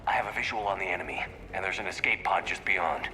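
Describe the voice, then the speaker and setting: A man's voice: deep voice, quick pace, close to an everyday voice. A man playing a resolute human military commander in a video game, speaking in a terse, commanding way. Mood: commanding, focused. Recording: game vehicle noise behind voice.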